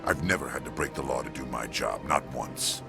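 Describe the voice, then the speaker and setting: An extremely low, creaky voice for a proud alien police chief in a video game. He speaks curtly and with stern authority, openly disapproving. Music plays clearly behind him. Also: a little fast. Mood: stern, indignant. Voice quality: gravelly. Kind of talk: video game dialogue acting.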